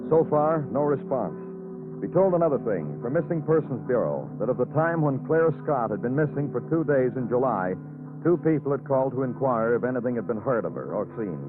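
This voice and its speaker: An actor playing a moody hard-boiled police detective, narrating in clipped, poetic phrases in 1950s radio crime drama style. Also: male voice, mid-range voice, very animated, fairly quick, natural voice. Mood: brooding, lyrical. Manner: brooding, terse, lyrical phrasing. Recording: tinny old radio broadcast with music.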